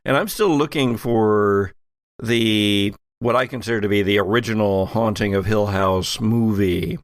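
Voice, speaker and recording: A man's voice: lowish voice, a little slow, no room sound. A man chatting casually as a podcast host and game master. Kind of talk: casual chat.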